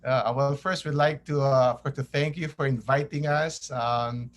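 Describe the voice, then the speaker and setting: A man's voice: mid-range voice, fairly even intonation, normal speed. Filipino-accented man as interview guest. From Cebu, Philippines. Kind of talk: casual conversational interview answers.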